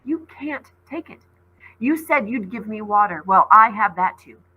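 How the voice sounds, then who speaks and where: A woman's voice: mid-range voice, expressive, measured pace, breathy edge, narrow, tinny audio. A woman voicing a clever, defiant young girl heroine in a storybook, bold and emphatic. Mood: defiant, sure.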